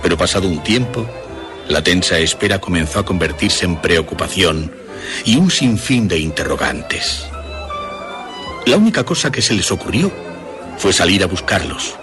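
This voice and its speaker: A man narrating a scripted horror story in deliberate, suspenseful phrases. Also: low-pitched, lively intonation. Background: music.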